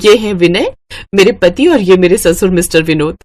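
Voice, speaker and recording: A woman's voice: fairly low pitch, expressive, moderate pace, a little mellow. Woman voicing an earnest young daughter-in-law. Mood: friendly. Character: young dutiful daughter-in-law, firm and indignant. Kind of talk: Hindi moral-story cartoon acting.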